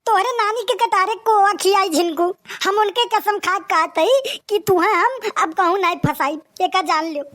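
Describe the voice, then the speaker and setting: A woman's voice: very high-pitched, normal speed, hardly any pauses, fairly bright tone. A woman with an Awadhi accent voicing a scheming, boastful young male wannabe gangster in a comic cartoon, pushy and bragging.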